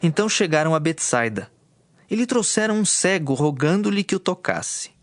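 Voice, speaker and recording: A man giving formal, measured scripted narration for a dramatized audio Bible. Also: fairly high pitch, sing-song, dry recording. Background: music.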